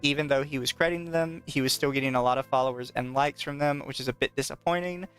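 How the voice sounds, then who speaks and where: A man's voice: mid-range voice, quick pace, clear, resonant tone. A man co-hosting a podcast in casual chat, often reading aloud with a mocking, profane manner. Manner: mocking, profane, laughs easily. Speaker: podcast co-host. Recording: soft background music.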